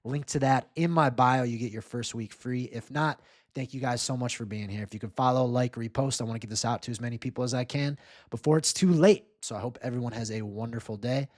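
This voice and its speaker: A man's voice: mid-range voice, brisk, darkish tone, dry recording, studio quality. A man hosting casual market commentary, talking informally and upbeat, straight to his viewers. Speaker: host, crypto market analyst. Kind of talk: casual chart commentary to camera or screen share.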